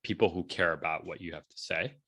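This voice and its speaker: A man in his forties speaking casually as an interview guest, telling stories in an animated way and acting out bits of dialogue. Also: low-pitched, fairly quick, slightly rough. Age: 40s. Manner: animated storytelling, acts out quoted dialogue, often checks in with "right?". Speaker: entrepreneur and blogger being interviewed. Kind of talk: casual conversational interview talk.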